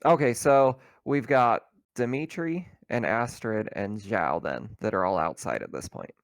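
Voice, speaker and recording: A man's voice: on the low side, lively intonation, unhurried, no echo, studio quality. A man acting as game master, explaining the scene and rules in casual chat and laughing easily. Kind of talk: casual tabletop roleplaying narration and chat.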